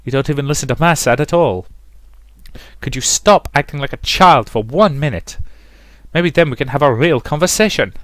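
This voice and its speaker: A man's voice: a little high, normal speed, fairly bright tone, no room sound, pitched above the performer's own voice, lightly acted. A man voicing an exasperated, lovesick rake with wounded pride, speaking dramatically in a visual novel. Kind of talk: visual novel character reading.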